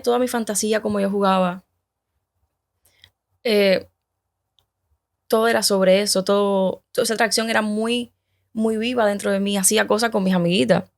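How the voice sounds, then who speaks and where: A woman in her thirties from Cuba shares her personal story in a relaxed conversation. Her voice has a gravelly, fry-laden edge, and she speaks haltingly, often restarting her sentences. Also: mid-range voice. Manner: hesitant, halting, with many restarts. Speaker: guest. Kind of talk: personal testimony in casual conversation.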